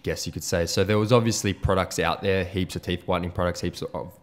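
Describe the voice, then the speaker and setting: Deep-voiced young Australian man, easygoing. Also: fairly even intonation, fast. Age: young adult. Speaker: guest entrepreneur. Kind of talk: casual interview chat.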